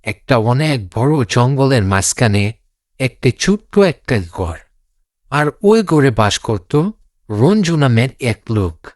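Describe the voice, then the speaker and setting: Casting: male fairy-tale narrator, unhurried, animated intonation, simple repetitive phrasing, very dry sound. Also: mid-range voice, dry recording. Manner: repeats phrases, simple unpolished storytelling. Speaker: storyteller.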